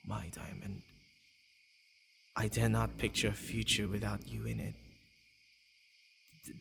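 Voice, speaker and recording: A man playing a centuries-old vampire lover, tender, possessive and flustered, halting and sighing in an intimate ASMR romance roleplay with night insects faintly behind him. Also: on the low side, noisy background, full character voice. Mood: tender, devoted. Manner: halting, stammering, breathy sighs.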